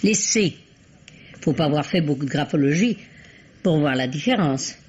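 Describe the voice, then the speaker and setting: A performer playing an astute female amateur sleuth in a radio mystery, deliberate and dryly playful. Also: on the high side, expressive, breathy edge. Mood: assured, appraising. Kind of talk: vintage French radio mystery acting. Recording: dull, muffled old radio recording.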